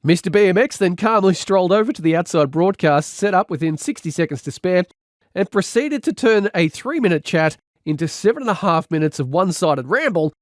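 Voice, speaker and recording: Animated man narrating a comedy audiobook. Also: high-pitched, no room sound, clean studio sound.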